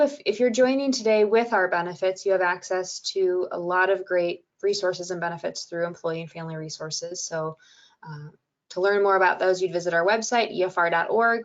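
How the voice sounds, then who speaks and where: A woman with a soothing voice presenting a wellness webinar, calm and gentle, with frequent fillers. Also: mid-range voice, highs cut off. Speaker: webinar presenter and podcast host.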